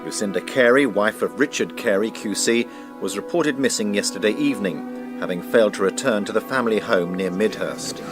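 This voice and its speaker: Man acting low-voiced formal newsreader. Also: fairly quick, music in the background, lightly acted. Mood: impassive. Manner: formal, even, impersonal. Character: formal, impassive male radio newsreader. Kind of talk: formal news bulletin.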